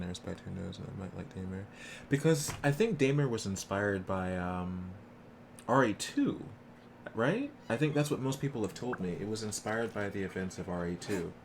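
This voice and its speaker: A man streaming games, chatting casually with his viewers in an offhand, conversational way. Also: fairly low pitch. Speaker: game streamer. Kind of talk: casual livestream chat with viewers. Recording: clear background noise.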